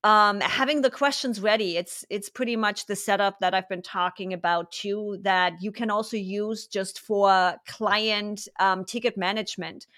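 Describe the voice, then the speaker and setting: A woman's voice: medium pitch, fairly even intonation, a bit bright. A woman with a German accent hosting a podcast interview, chatting casually and asking curious questions. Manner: chatty, curious, quick asides. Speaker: podcast host.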